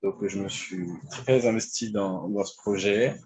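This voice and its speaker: A man in his twenties from France, a medical intern, talking hesitantly about his career with many pauses and fillers. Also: lowish voice, fairly even intonation, a little mellow, husky, poor audio. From France (Lyon). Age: 20s. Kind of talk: online panel talk about his career.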